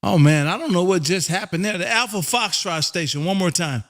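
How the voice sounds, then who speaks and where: An American man hosting a ham radio stream, chatting in a loud, folksy, chummy way and often saying "man". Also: high voice, a little fast, a little hiss or hum. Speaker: amateur radio operator and livestream host. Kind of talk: casual ham radio contact chat.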